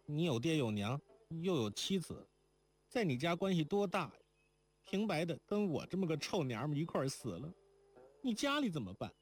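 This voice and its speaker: A heartbroken young woman in an audiobook tale, her voice high and husky with tears, as a male reader puts her on. She swings widely in pitch, pleading one moment and snapping the next. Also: sing-song, moderate pace, faint music behind. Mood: sharp. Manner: tearful, pleading and sharp-tongued.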